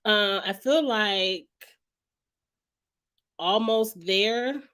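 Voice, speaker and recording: A woman vlog host with a hoarse, raspy, heavy-sounding voice. She chats frankly and casually, greeting viewers by name as she goes and clearing her throat now and then. Her pitch sits in an ordinary middle range for a woman. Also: very slow, a bit bright. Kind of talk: casual reality-TV commentary chat.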